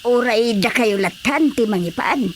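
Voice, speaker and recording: A woman's voice: a little high, lively intonation, a little slow, slight background noise. A woman with an Ilocano accent playing a fretful, chatty village mother and wife in a radio drama. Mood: worried.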